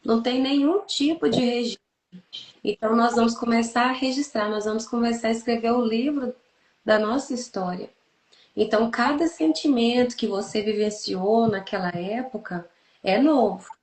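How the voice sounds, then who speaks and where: Brazilian female, 30s, therapist-host. Slightly high pitch, didactic, repeats for emphasis, roomy echo. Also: moderate pace, darkish tone. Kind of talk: explanatory podcast conversation. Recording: echoey, roomy sound.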